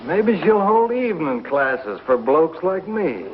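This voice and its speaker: An actor playing an easygoing, wisecracking small-town husband in a 1950s television Western, folksy and teasing. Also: male voice, a little high, very animated, unhurried, over music. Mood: teasing, dry. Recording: dull, faintly noisy 1950s TV soundtrack.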